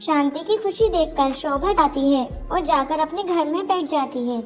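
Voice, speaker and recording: A woman's voice: high-pitched, normal speed. A woman reading scripted narration for a Hindi moral story, with music behind her.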